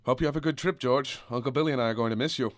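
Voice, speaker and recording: A man's voice: mid-range voice, very fast, no room sound, lightly acted. An actor playing a kindly, weary, principled small-town father in a radio drama. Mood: warm. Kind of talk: old-time radio drama acting.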